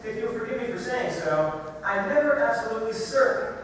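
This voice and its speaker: A man giving a measured, deliberate public reading of prepared excerpts at a church service. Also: extremely high pitch, expressive. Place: large reverberant church room. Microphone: distant microphone. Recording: echoey hall, far from mic, noisy.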